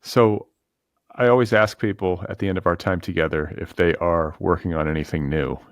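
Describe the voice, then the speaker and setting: A man with a low-pitched voice interviews a guest in a conversational way. He is reflective, building long questions in tentative steps. The sound is close and dry, with very little room in it. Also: steady tone, moderate pace, no echo. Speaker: podcast host interviewing a writer.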